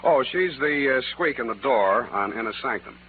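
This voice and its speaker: An actor playing a cocky, sardonic private detective in a 1940s radio drama, on a tinny, noisy recording. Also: male voice, medium pitch, expressive, normal speed, natural voice. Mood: teasing, impatient. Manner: sardonic, clipped, teasing.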